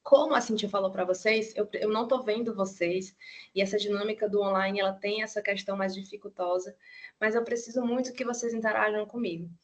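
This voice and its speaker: A middle-aged woman with a Ceará accent lectures over a video call in an even, level tone that barely rises or falls. She keeps it conversational and often checks in with tag questions. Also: mid-range voice, fairly quick. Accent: Ceará, Brazil. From Ceará, Brazil. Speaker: university nursing lecturer. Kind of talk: online lecture.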